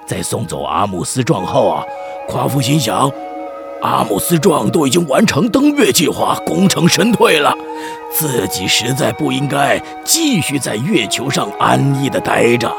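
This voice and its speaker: A man's voice: medium pitch, very animated, normal speed, vocal fry. A man with a Taiwanese accent playing a jolly, chatty middle-aged village chief who tells tall tales in a theatrical, teasing way for a children's radio drama. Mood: dramatic storytelling. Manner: theatrical, teasing, stammering at times. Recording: music bed behind the voice.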